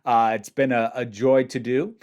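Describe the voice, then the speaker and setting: A man's voice: mid-range voice, fairly even intonation, normal speed, a little mellow, lo-fi sound. A male-sounding host in their thirties, speaking casually and expressively to viewers in a friendly way. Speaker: host, interviewer. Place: indoor room with shelves of toys.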